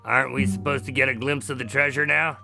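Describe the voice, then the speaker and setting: A man plays a skeptical, informal time-traveler in a radio adventure. His voice is bright and creaky at middle pitch, and he questions everything with casual wryness. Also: fairly even intonation, fast talker, soft background music. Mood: puzzled, questioning. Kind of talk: radio drama acting.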